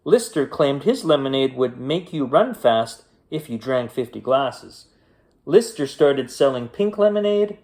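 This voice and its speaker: A man with a mid-pitched voice reads a children's story aloud. His pace is slow and unhurried, and he uses deliberate pauses for drama in an expressive bedtime-story style. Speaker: storyteller reading a children's picture book.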